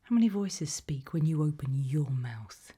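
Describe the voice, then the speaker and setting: A British woman playing a composed, probing, ominous interrogator in a whispered, breathy ASMR roleplay, questioning deliberately. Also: low voice, expressive, mellow, full character voice. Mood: eerie, probing. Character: composed, probing female interrogator of possessed people at a sinister institute.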